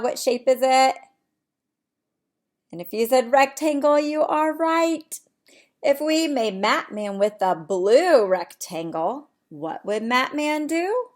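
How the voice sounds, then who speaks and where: Slow-paced woman teaching preschoolers. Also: on the high side, animated. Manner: encouraging, simple step-by-step explaining with questions to children. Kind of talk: read-aloud and shape activity lesson for young children.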